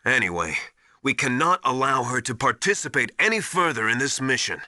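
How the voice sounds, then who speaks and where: A stern military mentor for a video game. He has a middling pitch that moves a lot, and a sharp, forceful tone. He sounds insistent, deliberate and full of suspicion. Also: sing-song, no room sound, studio quality. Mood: stern, accusing. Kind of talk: dramatic video game voice acting.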